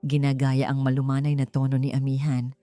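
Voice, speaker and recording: A low-pitched woman narrates a dramatic story from a script. Music plays clearly behind her voice as she reads. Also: completely flat delivery, brisk, dark tone, no room sound. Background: background music.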